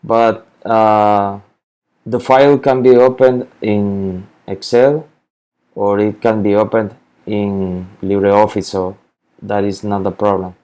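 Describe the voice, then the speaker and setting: A man talks through a software tutorial at an unhurried pace, stumbling and repeating words as he searches for them. His voice is low and mellow. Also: flat delivery. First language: Spanish. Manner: halting, repeats words, many fillers. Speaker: spreadsheet tutorial maker. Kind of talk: screen-recorded software walkthrough.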